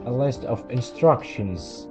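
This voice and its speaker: A man narrating a horror video commentary in a halting way, pausing mid-sentence as he explains and reads out quotes. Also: mid-range voice, expressive. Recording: dull lo-fi voice recording. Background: background music.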